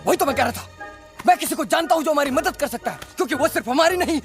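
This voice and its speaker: A man voicing a forgetful, muddled bird in an animated comedy, dithering and repeating himself. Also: very high voice, fast talker, faint music behind. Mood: eager. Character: scatterbrained, forgetful male bird, comic uncle. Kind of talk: animated film comic voice acting.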